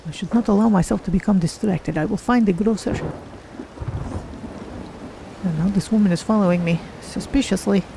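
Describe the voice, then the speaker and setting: A woman's voice: medium pitch, normal speed, gravelly, pauses often, mellow, a little hiss or hum, close to an everyday voice. A woman voicing a curious, naive cat-folk adventurer, musing aloud in careful, formal phrases as she plays a game. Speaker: streamer voicing own game character. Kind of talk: in-character game playthrough narration.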